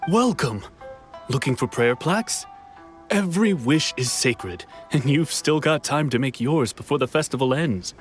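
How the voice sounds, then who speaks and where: A man's voice: mid-range voice, measured pace, music in the background, lightly acted. A man voicing a courteous stall keeper who sells prayer plaques at a festival in a video game. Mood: welcoming. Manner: calm, welcoming, explanatory.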